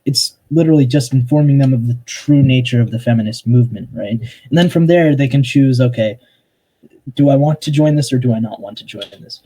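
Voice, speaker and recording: Husky young man debating on voice chat. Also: medium pitch, brisk, dark tone, low-quality recording. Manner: hesitant, restarts a lot, interrupts with "hang on".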